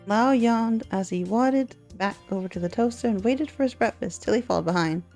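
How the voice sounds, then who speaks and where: A woman with a soft, mellow voice narrates a visual novel playthrough. She reads expressively and slips into casual asides, with faint music playing behind her. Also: medium pitch, fairly quick. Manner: expressive read-aloud with chatty asides. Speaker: gaming video creator reading a visual novel aloud.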